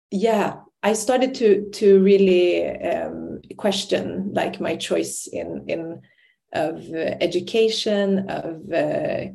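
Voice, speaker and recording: A woman's voice: on the low side, vocal fry, mellow. A young adult woman from Sweden, a podcast guest, talking reflectively with many fillers and restarts. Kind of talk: reflective interview talk.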